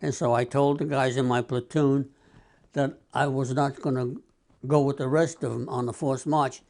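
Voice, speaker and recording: A man in his nineties from the United States, recalling the past in a slow, measured documentary interview. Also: medium pitch, steady tone, a little mellow. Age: 90s.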